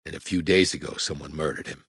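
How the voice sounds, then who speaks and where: A man voicing a huge, blunt federal agent who speaks quietly and matter-of-factly. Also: extremely low pitch, fairly even intonation, fast, slightly rough, no echo, clean studio sound, exaggerated voice. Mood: low-key. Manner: quiet, terse, matter-of-fact.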